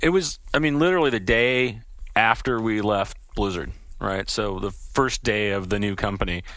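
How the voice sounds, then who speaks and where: A man's voice: fairly low pitch, moderate pace, a bit bright, no room sound. Eager male game developer, dry close mic. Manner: enthusiastic storyteller, many "you know" fillers. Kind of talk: casual interview chat.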